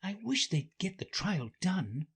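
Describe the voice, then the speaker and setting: A polite little girl from a storybook as a man acts her out, speaking in a high, lilting voice. She sounds eager, curious and a bit prim. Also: big pitch swings, unhurried, husky, full character voice.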